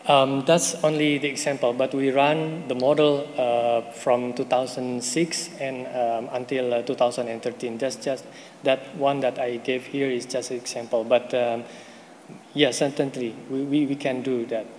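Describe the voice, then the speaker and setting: A man's voice: mid-range voice, level tone, normal speed, a little mellow. Hesitant man presenting in a hall. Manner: hesitant, many fillers and restarts. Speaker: presenting researcher. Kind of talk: conference presentation and Q&A. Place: conference hall. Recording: hall reverb, faint noise.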